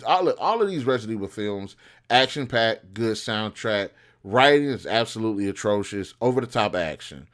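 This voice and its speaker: A man hosting a film review show, giving casual, opinionated commentary in an informal, slangy, blunt way. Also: on the low side.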